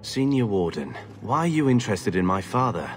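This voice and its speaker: Stern, low-voiced warden. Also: male voice, very animated, normal speed. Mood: stern, doubtful. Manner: firm, disapproving. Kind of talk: fantasy game voice acting. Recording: long hall reverb, faint ambient noise.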